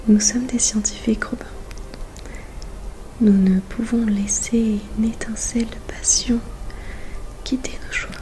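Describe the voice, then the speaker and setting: Casting: woman playing a composed mission control scientist, soft slow whisper, even delivery, sci-fi ASMR roleplay. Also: medium pitch, close to an everyday voice, poor audio. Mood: gently philosophical. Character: calm, thoughtful female space mission control scientist.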